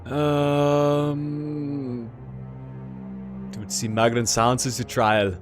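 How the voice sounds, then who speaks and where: A man doing a let's play, reading fantasy game story text aloud and adding casual commentary. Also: medium pitch. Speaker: let's player.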